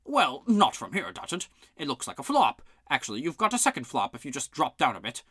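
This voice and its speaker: A narrator voicing a calm, sly alien servant in a storybook. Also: medium pitch, fairly quick, husky, no echo, voice pushed up, heavily acted.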